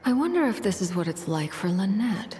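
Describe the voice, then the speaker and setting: A woman playing a composed, intimidating noblewoman in a video game, speaking in a measured, formal, unruffled way. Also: mid-range voice, big pitch swings, mellow, natural voice. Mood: composed curiosity. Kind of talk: restrained video game voice acting. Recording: game audio with background music.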